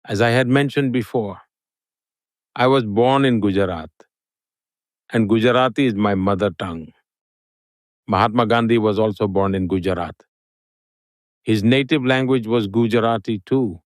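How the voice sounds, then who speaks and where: A man giving an interview guest's answers in English, with a steady, formal delivery like a prepared speech. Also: lowish voice, normal speed, long pauses, no room sound, studio quality.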